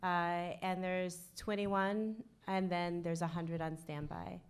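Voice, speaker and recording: A woman on a panel, explaining in a measured, careful way. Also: lowish voice, level tone, clear, resonant tone.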